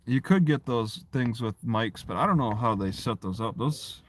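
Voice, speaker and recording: A man's voice: medium pitch, animated, slight background noise. A man chatting casually on a livestream, rambling and often restarting his phrases. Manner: halting, repeats and restarts phrases. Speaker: live streamer.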